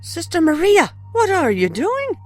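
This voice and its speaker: A woman's voice: very high-pitched, wildly swooping pitch, measured pace, heavily acted. A woman playing a concerned, level-headed nun in an audio drama, practical and questioning.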